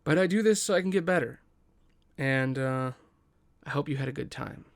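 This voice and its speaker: Creaky-voiced man narrating a script. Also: mid-range voice, normal speed. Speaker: podcast host and narrator. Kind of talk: scripted narration of a table read.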